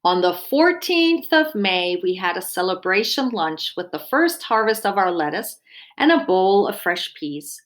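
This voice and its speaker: A woman's voice: mid-range voice, a little slow. Woman reading a storybook aloud. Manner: expressive, explains simply as if to young children. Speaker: dietitian reading a children's book aloud. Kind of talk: storybook read-aloud with explanatory asides.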